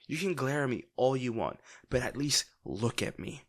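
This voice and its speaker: A young man playing a brash teenage bully with a secretly caring side in a dramatic audio roleplay, speaking gruffly with a husky, breathy voice, swearing and sighing. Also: medium pitch, lively intonation, natural voice. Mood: exasperated, concerned. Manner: gruff, swearing, sighing, halting when upset.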